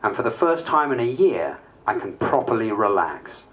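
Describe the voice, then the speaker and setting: A man's voice: fairly low pitch, big pitch swings, normal speed, a bit bright, rough recording. A man playing a weary, reflective politician, reading a diary aloud with theatrical expression.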